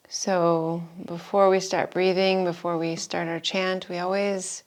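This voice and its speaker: A woman yoga teacher giving guided meditation and breathing instructions in an unhurried, soothing manner with long pauses. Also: mid-range voice. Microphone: live video call stream.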